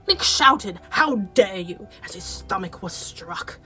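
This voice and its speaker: A woman playing a storybook narrator, reciting rhyming verse in a measured, dramatic way for a radio play. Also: mid-range voice, animated, pushed and bright, faint music behind, heavily acted.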